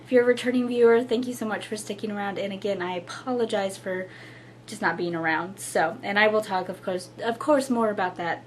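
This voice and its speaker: Young woman, hoarse and husky, mid-range voice, casual chatty host talking to camera. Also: mid-range voice, a little fast, slight background noise. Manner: chatty, apologetic, with frequent fillers and little laughs. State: hoarse, losing her voice. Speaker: knitting podcast host.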